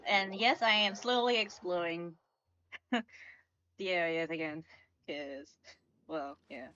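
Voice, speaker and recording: A woman's voice: fairly low pitch, takes it slowly, fairly bright tone, dull audio, slight background noise. A woman giving casual live commentary as she streams a game.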